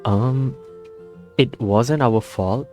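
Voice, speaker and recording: A male gaming streamer comments casually in a mid-pitched voice. He talks slowly and hesitantly in laid-back slang, with faint game music behind him. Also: darkish tone. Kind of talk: casual gameplay reaction commentary.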